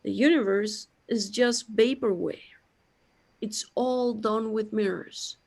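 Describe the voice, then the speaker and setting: A woman's voice: medium pitch, fairly even intonation, slow pace, a little mellow. A woman acting an anxious, bewildered character overwhelmed by existential dread in a sci-fi audio drama. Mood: awed, incredulous.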